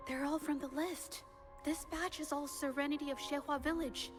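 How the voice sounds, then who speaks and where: An actress playing a gentle, earnest, hesitant young woman artist in a video game. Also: sits high, fairly even intonation, normal speed, music in the background, natural voice. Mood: thoughtful, troubled. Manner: hesitant, thoughtful.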